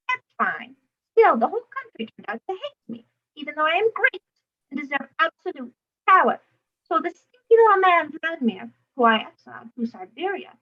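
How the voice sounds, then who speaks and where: A woman voicing a pompous, whiny, self-adoring male Tsar in a comic puppet-show skit, with huffy throat-clearing. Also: extremely high pitch, pushed and bright, voice pushed up, heavily acted. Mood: huffy, self-pitying. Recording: dull, choppy lo-fi video call audio.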